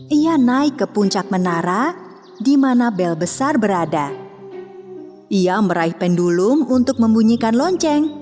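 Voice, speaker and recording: A woman narrating a children's cartoon fairy tale in a calm, measured storytelling voice. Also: fairly high pitch. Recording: long reverb with music behind.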